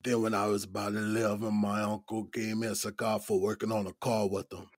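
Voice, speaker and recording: An actor playing an easygoing, folksy older male inmate in his sixties in an audio drama, with a deep, raspy smoker's voice and a Gullah Geechee accent. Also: flat delivery, no room sound, clean studio sound, natural voice. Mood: folksy, relaxed. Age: 60s. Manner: folksy, unhurried, colloquial drawl.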